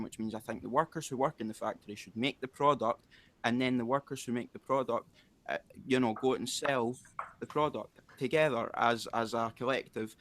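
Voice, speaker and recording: A man's voice: mid-range voice, a bit bright. Argumentative man with a mid-range voice. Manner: rambling, argumentative, restarts often. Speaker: debate participant. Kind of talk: casual online debate.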